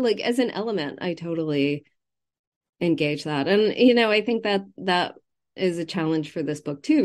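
A woman with a slightly low-set voice speaks as a guest in a relaxed literary interview. She thinks aloud, hesitating and doubling back on her sentences as a novelist working out her answer. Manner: halting, self-interrupting, thinking aloud, laughs readily.

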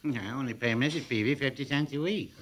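An actor playing a mild, polite small-town pharmacist with a dry, deadpan wit in 1940s radio comedy. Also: male voice, medium pitch, fast, natural voice.

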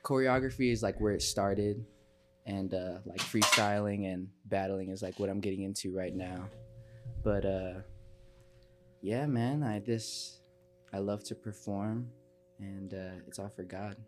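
A man's voice: on the low side, steady tone, unhurried, soft background music. A twenty-year-old man from Chicago answering questions as a podcast guest in casual interview chat. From Chicago, United States. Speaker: podcast guest, dancer and performer.

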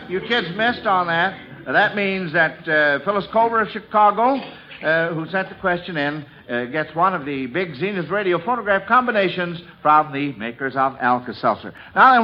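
A man's voice: a little high, animated, moderate pace. A man hosting a 1940s radio quiz show, coaxing children along in an avuncular way and often repeating himself. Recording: dull, band-limited vintage radio recording.

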